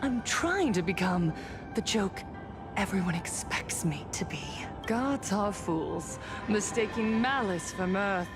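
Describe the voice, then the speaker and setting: A woman playing a wounded young woman in a video game, deliberate and theatrical, close to madness. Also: medium pitch, animated. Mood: manic, mocking. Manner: deliberate, dramatic. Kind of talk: dramatic game storytelling. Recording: music clearly under the voice.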